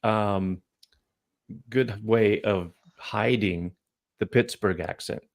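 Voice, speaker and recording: A podcast host with a Canadian accent talks in a friendly, rambling way, often restarting his sentences. His voice sits on the low side. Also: slow. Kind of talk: casual podcast chat.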